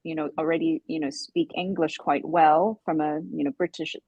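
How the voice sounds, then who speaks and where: A woman's voice: low-pitched, steady tone, normal speed, a little mellow, husky, dry recording, lo-fi sound. Modest woman from Hong Kong, dry sound. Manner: modest, laughs easily. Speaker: interview guest. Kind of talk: video call interview.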